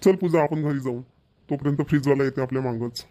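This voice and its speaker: A man voicing a doting young husband in a cartoon, gentle and indulgent toward his wife. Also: medium pitch, fast, natural voice. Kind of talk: cartoon voice acting.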